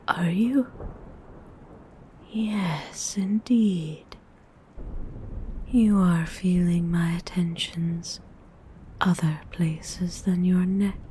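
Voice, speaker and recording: Actress as female vampire, ASMR roleplay: breathy, mellow whisper, seductive and condescending, unhurried, some background noise. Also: mid-range voice. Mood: seductive, teasing. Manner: slow, haughty, teasing, formal wording. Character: seductive, haughty female vampire feeding on a willing victim.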